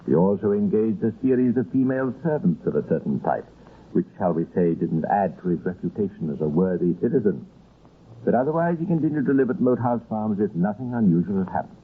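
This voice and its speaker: A man narrating an old radio crime drama in a measured, wry and ominous manner. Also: lowish voice, expressive, breathy edge. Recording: tinny, noisy old radio recording.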